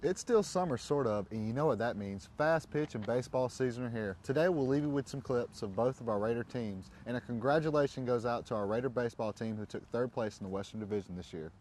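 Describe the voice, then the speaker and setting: A man's voice: mid-range voice, fast, hardly any pauses, a little mellow, a little hiss or hum. A man hosting a TV show with scripted presenting, recorded outdoors. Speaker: TV show host.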